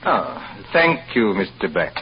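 An actor playing a suspicious, condescending hotel manager in vintage radio drama, speaking formally and pompously with pointed pauses. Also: male voice, lowish voice, big pitch swings, over music. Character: suspicious, condescending male hotel manager, smooth-talking superior. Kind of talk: 1940s radio acting. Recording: dull, faintly noisy old radio recording.

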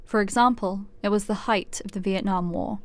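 Woman, medium-pitched voice, scripted documentary narrator, composed, clearly phrased. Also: fairly even intonation, moderate pace. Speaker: host, narrator.

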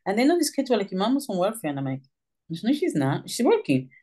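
A middle-aged woman from Puerto Rico, a social worker and author telling her story in an interview, animated and acting out the voices she quotes. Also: mid-range voice, fast.

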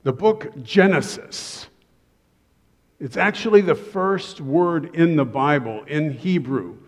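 A man's voice: a little high, lively intonation, slow. A middle-aged man preaching a humorous sermon to his congregation from a church stage, with joking, self-deprecating asides. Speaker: pastor. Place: church sanctuary. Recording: long reverb of a church hall.

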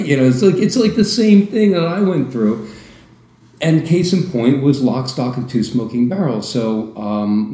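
A man with a deep voice and an American accent, a podcast guest telling stories with lively asides. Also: reverberant. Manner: storytelling, animated asides. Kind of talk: casual podcast chat.

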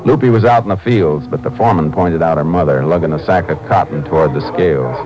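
Low-voiced, terse Texas lawman. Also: quick pace, poor audio. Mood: matter-of-fact narration. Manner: terse, matter-of-fact. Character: calm, businesslike Texas Ranger investigator and narrator. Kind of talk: 1950s radio acting, narration and dialogue. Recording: old radio drama with music.

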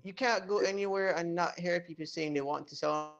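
Young male guest, animated storyteller, slightly high voice. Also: fairly quick, gravelly, dull audio, a crowd in the background. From Jamaica. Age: young adult. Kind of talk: casual interview chat.

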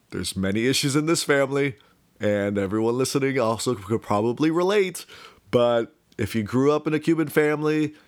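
A young man from talks casually about himself, thinking aloud in a rambling, hesitant way. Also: mid-range voice. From Miami, United States. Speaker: speaker telling about own life. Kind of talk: casual personal monologue.

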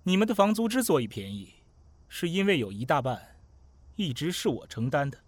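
A man acting an aloof, fastidious young housemate in an audio drama, speaking in a curt, commanding way. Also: a little high, big pitch swings, no echo. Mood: bossy, cool.

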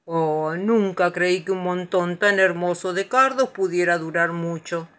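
A woman's voice: fairly low pitch, unhurried, fairly bright tone. A woman voicing a gloomy, resigned male forest creature in a children's storybook. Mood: glum.

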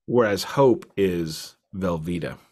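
A man's voice: low-pitched, slow pace, a little mellow, husky. A man co-hosting a casual music discussion, talking in a wry, emphatic and discursive way. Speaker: album review podcast co-host.

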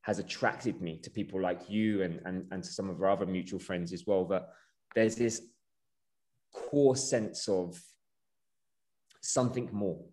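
Male podcast host with a lowish voice; warmly effusive, winding, earnest questioner. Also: measured pace, pauses often, a little hiss or hum. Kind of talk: casual podcast interview.

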